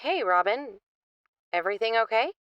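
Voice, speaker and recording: An actress playing a calm, measured young woman investigator in an audio drama. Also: on the high side, clean tone, no room sound, lo-fi sound, close to an everyday voice.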